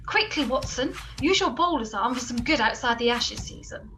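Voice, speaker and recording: A woman playing a cool, brisk, tough ally in a comedy radio play, deadpan and commanding. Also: on the high side, heavy background noise, natural voice.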